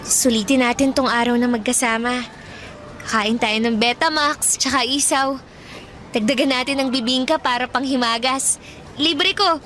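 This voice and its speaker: An actress playing a soft-spoken, sensible teenage girl who gently reasons about young love in a radio drama. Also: high voice, moderate pace, a little hiss or hum. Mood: playful.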